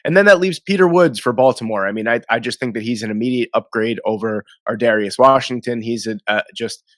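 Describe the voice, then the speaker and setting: A man's voice: medium pitch, a little fast, fairly bright tone, no echo, studio quality. A man, nasal and sniffly from a cold, rambling through casual football draft commentary as the host. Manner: rambling, self-correcting, conversational.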